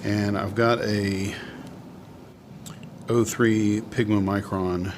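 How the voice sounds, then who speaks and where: A man's voice: lowish voice, little pitch movement, drawn-out words, noisy background. A man talking casually and unscripted as he demonstrates drawing, thinking aloud and trailing off as he goes. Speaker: artist hosting a drawing video.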